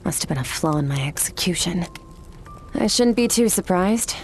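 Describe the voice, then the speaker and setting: A woman playing a cool, aloof otherworldly swordmaster in a video game, stoic and blunt, with a measured, detached delivery. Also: lowish voice, animated, a little mellow, slight background noise, natural voice. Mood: guarded, resigned. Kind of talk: video game character dialogue.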